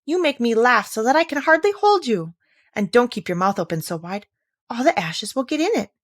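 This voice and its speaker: A woman reading a storybook as a bright, chattering little girl who is curious and playfully chiding. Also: fairly high pitch, very animated, fairly quick, no echo, clean studio sound, voice pushed up. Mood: amused, exclaiming.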